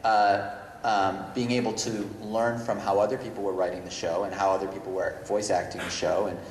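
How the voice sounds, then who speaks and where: A middle-aged man speaking off the cuff as a writer guest on a panel, halting and often correcting himself mid-thought. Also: lowish voice, level tone. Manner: halting, rambling, self-correcting. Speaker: screenwriter guest at convention Q&A. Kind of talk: unscripted panel talk. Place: large indoor convention panel room. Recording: echoey reverberant hall, noticeable noise.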